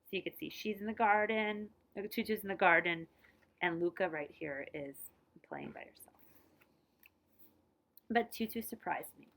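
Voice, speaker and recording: A woman reading a children's picture book aloud in an expressive, dramatized way, dropping to hushed phrase endings and adding short asides. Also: fairly low pitch, normal speed, pauses often.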